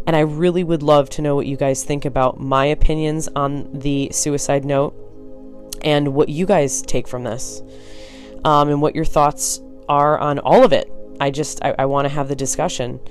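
Connecticut-accented solo podcast host, tired, frank and rambling, medium pitch. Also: steady tone, moderate pace, soft background music. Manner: blunt and frank, swears, rambling asides. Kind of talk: casual solo podcast talk.

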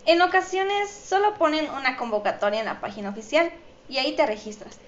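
A woman's voice: high voice, a little fast. A woman with a Mexican accent, answering audience questions as an interview guest. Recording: dull, echoey room with faint noise.

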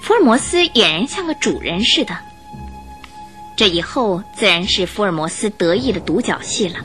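A woman's voice: mid-range voice, big pitch swings, moderate pace, fairly bright tone. A woman giving scripted, storytelling narration for a radio drama. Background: background music.

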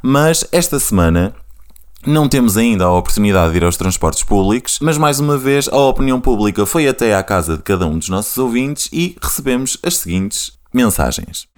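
Smooth-voiced Portuguese man hosting a chat. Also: medium pitch, brisk. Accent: European Portuguese. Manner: relaxed, conversational, asks co-host questions. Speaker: podcast host. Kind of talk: casual radio chat.

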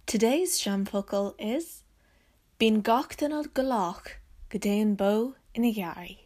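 A woman storyteller with an Irish accent reading short scripted sayings in deliberate, measured phrases. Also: on the high side, a little mellow.

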